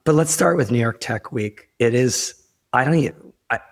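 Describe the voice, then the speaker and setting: A hoarse man who is losing his voice, talking casually and wryly as a podcast host in a rambling, informal way. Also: medium pitch, moderate pace, dry recording, clean studio sound. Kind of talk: casual conversational podcast talk.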